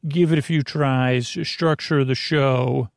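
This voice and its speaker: A man hosting a bedtime podcast in a gravelly, creaky voice, rambling haltingly, trailing off and circling back. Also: medium pitch, fairly even intonation, no echo, clean studio sound. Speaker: podcast host.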